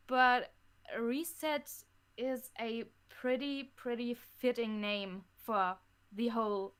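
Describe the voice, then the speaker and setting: A fifteen-year-old girl chatting casually as an interview guest, in a hesitant, halting manner. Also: on the high side, smooth. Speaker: interview guest, audio drama creator. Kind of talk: casual chat.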